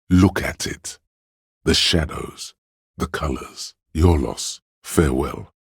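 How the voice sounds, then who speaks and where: A man's voice: no echo, studio quality, exaggerated voice. A man voicing a grandiose, rapturous inner voice of artistic imagination in a video game, with theatrical, savouring delivery of poetic lines. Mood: rapturous, grand. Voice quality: very deep, gravelly, husky. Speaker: game voice actor. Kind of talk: dramatic literary game narration.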